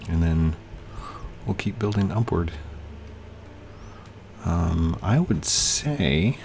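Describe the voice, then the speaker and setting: Man with a low voice, chatting to game pieces. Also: expressive, drawn-out words, vocal fry, darkish tone, slight background noise. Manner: chatty, talks to game objects, hums while working. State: slightly losing his voice. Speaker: gaming channel host. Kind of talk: casual let's play commentary. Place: home room, indoors. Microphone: headset.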